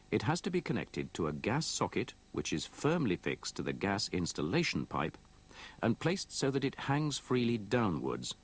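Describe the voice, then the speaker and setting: A man narrates an instructional film from a script. His voice sits fairly low and is husky, with intonation that moves up and down in a lively way. He explains things methodically and in measured phrases, on audio copied from an old VHS tape.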